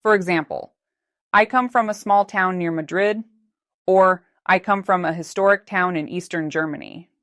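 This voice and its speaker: A woman narrating a scripted English lesson as the teacher, speaking slowly and carefully with clear, deliberate articulation. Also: lowish voice, fairly bright tone, no echo, studio quality.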